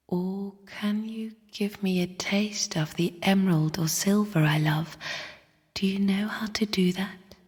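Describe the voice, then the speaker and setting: Bleak whispering spirit sister, very breathy. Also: female voice, low voice, heavily acted. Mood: yearning. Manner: slow, hushed, cryptic and scornful. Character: bleak, bitter female spirit sister of a dying void. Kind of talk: video game character monologue. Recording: long reverberant hall echo.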